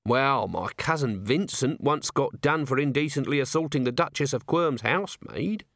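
A man's voice: medium pitch, expressive, moderate pace, fairly bright tone, no echo, clean studio sound. A man voicing a scruffy small male corporal who moans and whines. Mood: woeful. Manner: moaning, whining.

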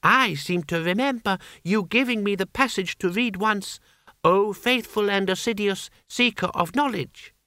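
Man acting as child emperor, high voice, bright, imperious, ornately courteous, politely menacing, storybook character. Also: measured pace, dry recording, studio quality. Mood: sly courtesy. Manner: ornate, mock-courteous formal address.

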